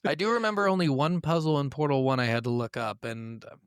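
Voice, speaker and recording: A man's voice: fairly high pitch, expressive, fast, clean tone, dry recording, studio quality. A man co-hosting a podcast, chatting casually about games in an informal, conversational way. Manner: conversational, informal, says "like" often. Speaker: podcast co-host. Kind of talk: casual chat, game review.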